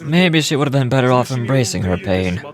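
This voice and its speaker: A man playing a solemn, suffering narrator in a video game, speaking gravely and poetically with deliberate weight. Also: mid-range voice, expressive, soft background music, lightly acted. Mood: solemn, brooding. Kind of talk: dramatic video game monologue.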